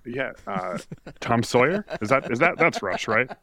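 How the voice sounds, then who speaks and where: A man's voice: medium pitch, big pitch swings, moderate pace, a crowd in the background. A man speaking as a podcast guest in casual chat, wry and self-deprecating.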